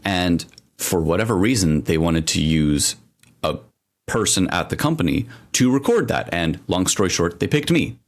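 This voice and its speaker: A man with a low, deep voice that swoops up and down a lot as he talks. He co-hosts a casual podcast and tells long, rambling stories, piling on asides and restarts as he goes. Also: moderate pace. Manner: rambling, animated storytelling with frequent asides. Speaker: podcast co-host.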